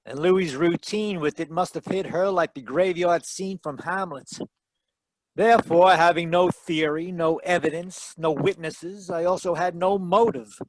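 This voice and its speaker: A man's voice: high voice, a little slow, no room sound, poor audio. A man playing a wry, tough private detective in a 1940s radio style, dryly wisecracking, recorded over a video call. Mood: wry narration. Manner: wisecracking, dry. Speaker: actor narrating and playing lead detective. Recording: live video call performance.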